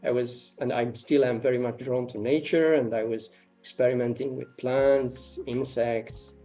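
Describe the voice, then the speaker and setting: A man from Romania with a warm, relaxed tone at a middle pitch. He answers interview questions slowly and hesitantly, full of ums and restarted phrases, and faint music plays underneath. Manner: hesitant, many fillers and repeated starts. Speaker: interviewee, artist and ecology researcher.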